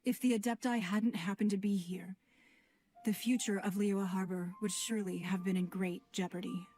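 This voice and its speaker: A woman's voice: medium pitch, fairly even intonation, warm tone, lightly acted. Woman voicing a composed, dignified game noblewoman. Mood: formal gratitude. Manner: measured, formal. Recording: game music behind the voice.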